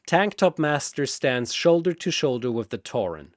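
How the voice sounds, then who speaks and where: A man's voice: medium pitch, big pitch swings, normal speed, no room sound, clean studio sound. A man narrating a scripted fantasy battle theatrically, often in short, clipped phrases.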